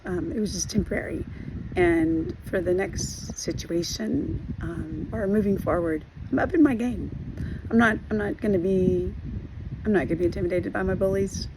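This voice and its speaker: A hoarse woman giving an earnest personal video update, talking haltingly with many fillers and restarts. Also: medium pitch, background noise. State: hoarse from losing her voice. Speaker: trauma recovery vlogger.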